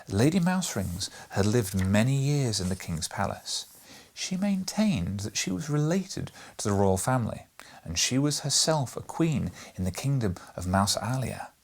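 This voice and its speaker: A man narrating a children's storybook as an audiobook reader, in an expressive, measured way. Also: on the low side, husky.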